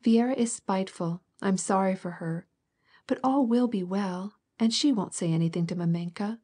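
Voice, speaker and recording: A lively, affectionate young girl comforting her cousin, as a woman reader puts her on. She speaks eagerly and tenderly, close to the reader's own voice. The tone is soft and slightly breathy. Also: mid-range voice, normal speed, lightly acted.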